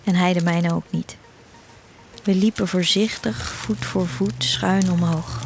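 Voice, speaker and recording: A woman's voice: fairly low pitch, steady tone, unhurried, gravelly, noisy background. A woman reading a literary first-person story as the narrator of an audio drama. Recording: music behind the voice. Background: music.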